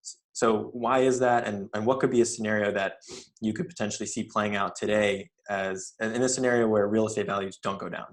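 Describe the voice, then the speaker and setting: A man hosting an interview, asking questions and adding market commentary in a measured, analytical way. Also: on the low side, fairly even intonation. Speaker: interview host, real estate investor.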